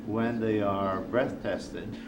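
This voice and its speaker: A man supervising a driving test, explaining the procedure and questioning participants in a matter-of-fact, deliberate way. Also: lowish voice, steady tone. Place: outdoor closed driving course. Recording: echoey outdoor recording with ambient noise.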